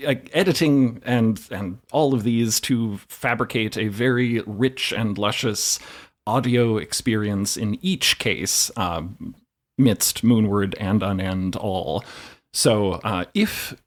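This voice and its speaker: A man's voice: on the low side, slow. A man chatting as a podcast guest, with a wordy, playfully florid way of phrasing things. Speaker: podcast writer and performer, guest. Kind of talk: casual chat.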